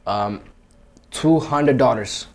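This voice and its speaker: A man's voice: mid-range voice, takes it slowly, gravelly. Upbeat man running a charity auction. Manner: chatty, upbeat, announces bids. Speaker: auction host.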